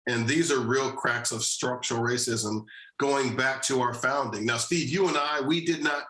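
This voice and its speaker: A man with a bright, forceful voice lays out his argument step by step, returning to key phrases for emphasis. He sounds like an earnest advocate, and his voice rings in an echoey room. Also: mid-range voice. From New Jersey. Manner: measured, deliberate, repeats key phrases. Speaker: social justice advocate, guest. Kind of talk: earnest policy discussion.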